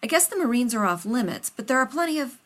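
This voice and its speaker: A woman's voice: on the high side, very animated, fast talker, fairly bright tone, pitched above the performer's own voice. A woman reading a story aloud, voicing a fussy, rambling male scientist who talks over others. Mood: flustered.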